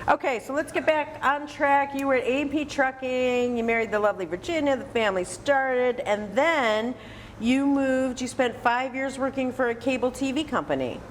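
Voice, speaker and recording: Higher-voiced American woman interviewing, chatty. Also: normal speed, slight background noise. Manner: chatty, encouraging, affirming. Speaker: interview host. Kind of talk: conversational interview.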